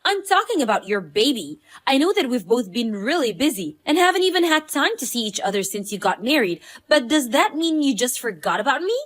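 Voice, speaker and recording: A woman's voice: sits high, sing-song, brisk, hardly any pauses. A woman playing a blunt, pushy female friend in a scripted story drama. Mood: indignant, hurt. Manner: blunt, accusing. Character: Allison, pushy, indignant, spoiled but loyal woman friend.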